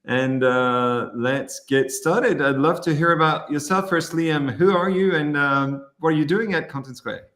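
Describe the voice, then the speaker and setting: A man's voice: a little high, measured pace, few pauses, fairly bright tone, reverberant. A man hosting a webinar interview, friendly, with many fillers. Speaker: webinar host, company founder.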